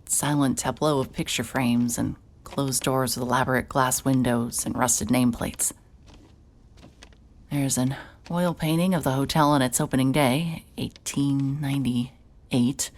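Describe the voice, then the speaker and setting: A young woman narrating a horror audio drama in first person, tense and breathless, dropping to whispers and breaking into sudden shouts. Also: extremely low pitch. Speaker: narrator and lead character.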